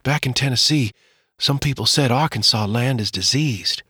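A man's voice: medium pitch, bright, forceful tone, no room sound, clean studio sound. A man doing a feverish, fading mother. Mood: weak, scared. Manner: faint, weak, breathy and slow. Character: weak, feverish frontier mother, frightened.

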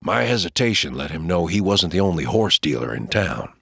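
A man voicing a steady frontier father who speaks low, soft and measured. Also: vocal fry, husky, no room sound, studio quality, heavily acted. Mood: calm.

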